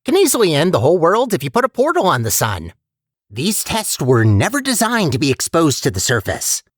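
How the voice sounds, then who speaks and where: A man's voice: on the high side, wildly swooping pitch, fairly quick, no echo, clean studio sound. A man playing a chatty, sardonic and untrustworthy AI guide in a video game, with wry, hesitant conversational asides. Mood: wry, uneasy. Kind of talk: video game character voice lines.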